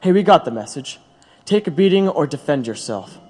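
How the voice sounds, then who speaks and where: An actor in an audio drama playing a proud, bitter labor radical, speaking earnestly and rhetorically. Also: male voice, on the high side, very animated, fairly quick, darkish tone, husky, faint music behind. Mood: defiant. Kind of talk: dramatic audio play acting. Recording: long hollow reverb.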